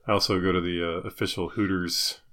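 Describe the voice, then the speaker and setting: A man co-hosting a podcast in casual banter, joking in a deadpan way. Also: low voice, fairly quick. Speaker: podcast co-host.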